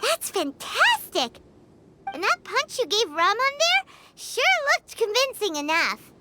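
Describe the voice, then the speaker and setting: A woman voicing a tiny, excitable, childlike fairy companion in a video game, squeaky and bubbly. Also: unhurried, very noisy, heavily acted. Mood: cheerful. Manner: bubbly, exclaiming.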